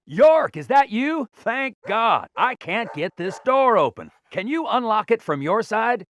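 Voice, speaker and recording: A man's voice: sits high, sing-song, measured pace, a bit bright, slight background noise, full character voice. A man playing an anxious trapped man calling for help in a video game. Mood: anxious, relieved. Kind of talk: video game cutscene acting.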